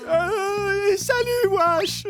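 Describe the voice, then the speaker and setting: An actor voicing a naive, whiny, sentimental wild boar in a cartoon, slow-witted and melodramatic. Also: very high voice, exaggerated voice. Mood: confused. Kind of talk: exaggerated cartoon voice acting. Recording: loud music bed behind.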